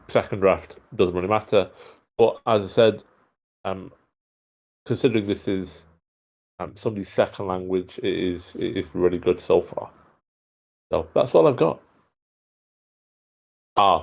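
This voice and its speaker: A man's voice: deep voice, moderate pace, vocal fry, pauses often, a little mellow. A man giving casual, somewhat rambling and hesitant feedback on a script in an online meeting. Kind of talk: casual spoken critique. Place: indoors on an online meeting call.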